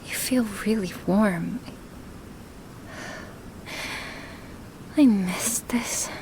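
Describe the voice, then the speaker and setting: Woman voicing a slow, whispery, gentle dragon woman. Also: mid-range voice, background noise. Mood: tender, happy. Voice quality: breathy, often slipping into whispers. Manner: slow, hesitant, with sighs and pauses. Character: gentle dragon woman, awkward, affectionate toward her human. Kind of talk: ASMR roleplay, spoken softly and intimately to the listener.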